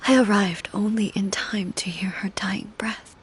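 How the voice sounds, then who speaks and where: A woman voicing a solemn, grieving divine ruler in a game, speaking softly and measuredly, often close to a whisper. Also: mid-range voice, steady tone, measured pace, breathy edge. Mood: sorrowful. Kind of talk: game cutscene voice acting.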